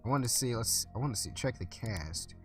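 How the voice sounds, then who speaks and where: A man's voice: mid-range voice, lively intonation, brisk, faint music behind. Stuffy-voiced man narrating gameplay. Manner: chatty, thinks aloud with frequent uh and restarts. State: stuffy, recovering from illness. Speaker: let's play host. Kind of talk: casual gameplay commentary.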